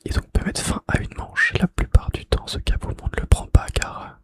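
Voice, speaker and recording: A man speaks entirely in a breathy whisper, as an ASMR host would. He narrates softly, lingering on key phrases and repeating them, with small hesitations along the way. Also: moderate pace. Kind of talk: whispered ASMR narration of video game facts.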